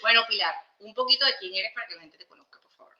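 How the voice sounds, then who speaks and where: A woman's voice: on the high side, very fast, highs cut off, heavy background noise. A woman hosting a podcast in an informal, chatty, conversational way, often chiming in. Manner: informal, chatty, interjecting agreement. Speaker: podcast host. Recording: echoey room.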